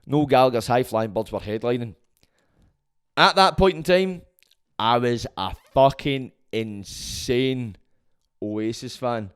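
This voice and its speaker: A Glaswegian man hosting his own podcast, rambling and swearing freely in a loud, booming voice. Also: slow, smooth. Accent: Scottish, Glaswegian. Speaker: podcast host. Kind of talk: casual monologue.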